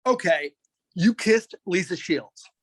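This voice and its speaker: A man's voice: high-pitched, animated, slow pace, a bit bright, slightly rough, exaggerated voice. A man playing a persistent lawyer who puts insistent, clipped questions to a witness in a dramatic reading. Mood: probing. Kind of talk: dramatic reading of a deposition transcript.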